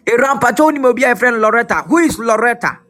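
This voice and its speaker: Hoarse high-voiced preacher. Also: male voice, lively intonation, quick pace, soft background music. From Ghana. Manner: fervent, repetitive, shouted declarations. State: hoarse, losing his voice. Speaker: prophet preacher. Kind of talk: prophetic sermon with prayer.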